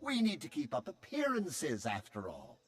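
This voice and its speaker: A man's voice: a little high, wildly swooping pitch, moderate pace, fairly bright tone. A man voicing a fretful, servile droid sidekick in a video game, fussy, anxious and dramatic. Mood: relieved, obsequious.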